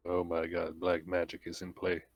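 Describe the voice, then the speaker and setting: A man's voice: deep voice, monotone, normal speed, a little hiss or hum. A man talking casually as a player in a tabletop roleplaying game. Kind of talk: casual chat.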